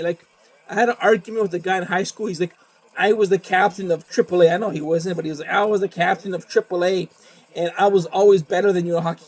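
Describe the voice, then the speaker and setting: A man's voice: high-pitched, low-quality recording. A young man from Canada, talking in a rambling, profane monologue and repeating himself often. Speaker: vlogger. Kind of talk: casual monologue.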